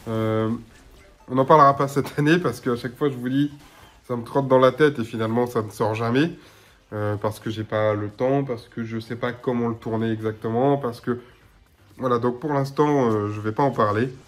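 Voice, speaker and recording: A man's voice: medium pitch, fairly even intonation, normal speed. Stuffy-nosed man chatting to camera. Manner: chatty, rambling with frequent filler sounds. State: blocked nose, slightly hoarse. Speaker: gaming YouTuber. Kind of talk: casual to-camera chat. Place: small room at home.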